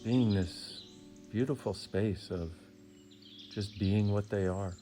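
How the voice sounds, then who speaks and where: Deep-voiced man guiding a meditation. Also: music in the background. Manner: soothing, deliberate, with short phrases and long pauses. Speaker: meditation guide. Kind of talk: guided meditation.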